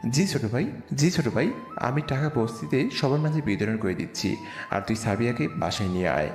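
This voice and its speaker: Casting: bold slum political fixer played by a man, mid-pitched male, commanding, scheming, reverberant radio-play sound. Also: animated, normal speed, natural voice. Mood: confident. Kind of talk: amateur audio drama acting. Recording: echoey reverb with music behind.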